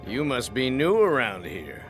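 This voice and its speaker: A man's voice: mid-range voice, wildly swooping pitch, measured pace, faint music behind. A man playing a cynical, hard-drinking party guest in a video game, wordy and sardonic, with a blasé way of talking. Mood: blunt, worldly.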